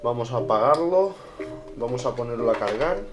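A man with an accent from Spain narrating a casual unboxing review in an informal way, pausing and repeating himself. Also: medium pitch, expressive, highs cut off. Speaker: video reviewer. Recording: music behind the voice. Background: background music.

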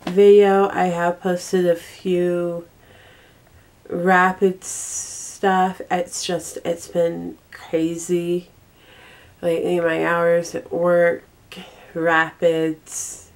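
Slow-paced young woman with a cold. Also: lowish voice, completely flat delivery. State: congested with a cold. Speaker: vlogger. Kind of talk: casual monthly favorites vlog. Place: small bedroom, indoors.

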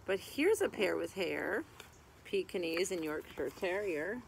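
A woman's voice: fairly low pitch, expressive, vocal fry. Woman reading aloud outdoors, some background noise. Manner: deliberate, phrase-by-phrase reading with short asides. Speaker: teacher reading a picture book aloud to children. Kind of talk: read-aloud of a children's picture book. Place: outdoors in a garden beside a tree.

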